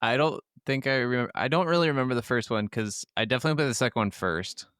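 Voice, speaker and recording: A man's voice: mid-range voice, quick pace, fairly bright tone, smooth, no echo, clean studio sound. A man co-hosting a gaming podcast, chatting in a laid-back, informal way with casual swearing. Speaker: podcast co-host.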